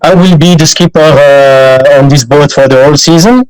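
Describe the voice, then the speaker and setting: A man from Belgium, a native French speaker with a Belgian French accent, presenting his yacht charter on a video call with many pauses and fillers. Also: fairly high pitch, a little mellow, smooth, dry recording, low-quality recording. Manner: hesitant, many fillers, non-native phrasing.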